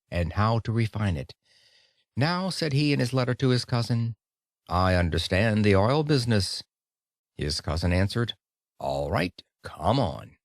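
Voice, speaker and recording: A man reading an audiobook of a speech in an expressive storytelling manner, with dramatic pauses. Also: fairly low pitch, no room sound, clean studio sound. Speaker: audiobook narrator. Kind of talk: scripted audiobook narration of a speech.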